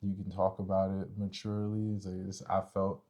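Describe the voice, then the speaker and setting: A man's voice: low-pitched, monotone, a little fast, warm tone. A man co-hosting a casual discussion about relationships, talking in an informal, slangy way peppered with filler phrases like "you know". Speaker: podcast co-host.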